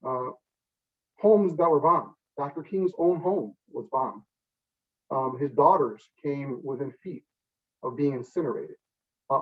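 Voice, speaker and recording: Male politician, mid-range pitch, earnest public oratory, deliberate pauses, emphatic phrases, slightly muffled distant recording. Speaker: state senator giving a speech. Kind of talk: public commemorative address.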